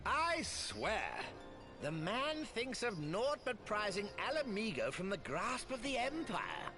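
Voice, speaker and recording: Pompous, swooping scheming merchant lord. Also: male voice, high-pitched, big pitch swings, exaggerated voice. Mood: smug, sly. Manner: pompous, archly drawn-out, mock-courteous. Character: scheming, smug wealthy merchant lord, theatrical and mocking. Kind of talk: video game cutscene acting. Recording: game audio with music.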